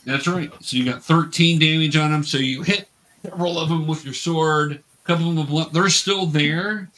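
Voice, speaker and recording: A man's voice: on the high side, normal speed, a bit bright. A man acting as game master, patiently explaining rules and narrating a tabletop fantasy game.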